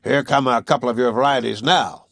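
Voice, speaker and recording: A man's voice: medium pitch, steady tone, a little fast, creaky, fairly bright tone, dry recording. A man voicing a blunt, plain-spoken harpooner with dry, folksy humor. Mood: wry. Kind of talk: audiobook character voice.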